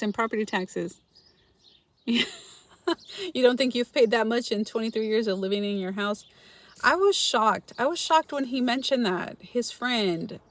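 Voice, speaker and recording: A middle-aged woman from Los Angeles, hosting a casual livestream and chatting with viewers by name, laughing often. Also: a little high. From Los Angeles, California. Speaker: frugal-living channel host reading viewer comments.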